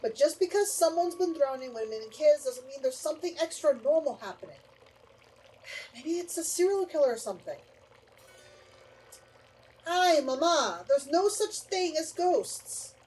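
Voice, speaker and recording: A woman's voice: high-pitched, animated, normal speed, pauses often, a little mellow, slight background noise, low-quality recording. A woman playing a weary, protective young mother in an audio drama. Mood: weary, exasperated.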